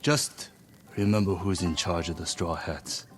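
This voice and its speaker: A boastful, teasing mercenary chief from a video game with a somewhat low voice. His lines sit over clearly audible game ambience. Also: steady tone, measured pace. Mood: businesslike. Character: cocky adult male mercenary gang leader. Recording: game audio with ambient noise.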